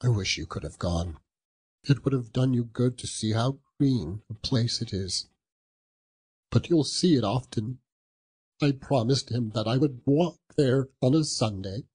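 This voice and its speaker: A man reading a storybook voices a gentle, poor father grieving for his child. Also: medium pitch, slightly rough, voice pushed up. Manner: heartfelt, breaking.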